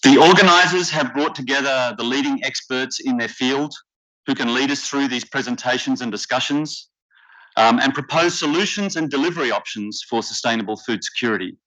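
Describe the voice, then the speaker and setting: A man with an Australian accent emceeing a conference from the stage, speaking in a measured, deliberate way with occasional fillers. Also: mid-range voice, sharp tone. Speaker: workshop facilitator and emcee. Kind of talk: formal conference welcome speech. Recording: slightly dull lo-fi conference audio.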